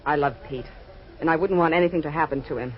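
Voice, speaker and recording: An actress playing a tough, guarded nightclub singer in a 1940s radio noir, cool and sardonic. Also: female voice, deep voice, fast talker, natural voice. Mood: wistful, wry. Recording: dull old radio recording, faint music.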